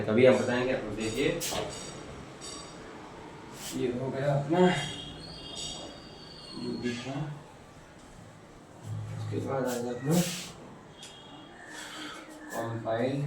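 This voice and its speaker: A man's voice: medium pitch, measured pace, pauses often. A man teaching informally, explaining step by step, often repeating himself and asking rhetorical questions. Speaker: programming teacher. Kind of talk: informal spoken tutorial explanation. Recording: very echoey room, distant mic, faint noise.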